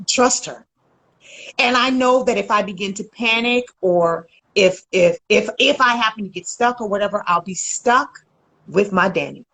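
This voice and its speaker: A woman relationship coach in a casual conversation, loud, emphatic and blunt, swearing freely. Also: medium pitch, animated, moderate pace, low-quality recording.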